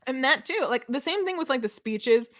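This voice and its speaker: A woman's voice: high voice, animated, moderate pace. A woman in a casual book chat, sardonic and exasperated, often acting out imagined lines in her own voice. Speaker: book discussion co-host.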